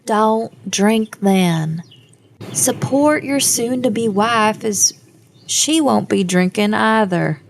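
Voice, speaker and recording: A woman playing a level-headed, guarded young adult daughter in an audio drama, measured and dry in manner. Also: mid-range voice, creaky, slight background noise. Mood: dry, firm.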